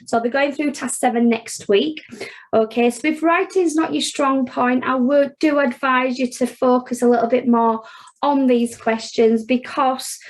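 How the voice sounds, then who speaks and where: A woman with a Yorkshire accent teaches an online accounting revision class. Her voice sits a touch high, and she speaks in a chatty, informal way. She checks in often with "okay" and fills pauses with "um". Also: steady tone, poor audio. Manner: chatty, frequent "okay" and "um", talks students through steps. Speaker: accounting tutor.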